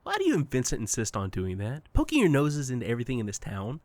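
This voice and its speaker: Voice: mid-range voice, huge pitch swings, fast, a little mellow, dry recording. An actor playing an uncannily cheerful entity that poses as a best friend, overly friendly, coy and needling, in an audio drama. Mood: mock hurt.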